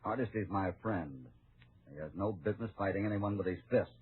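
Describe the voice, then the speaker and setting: A fairly deep-voiced cowboy hero acted by a man, unruffled and wry. He speaks with easy confidence in an old radio western. The recording is tinny and a bit noisy. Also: fairly quick, close to an everyday voice. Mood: calm, wry. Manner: easygoing, unruffled, dry.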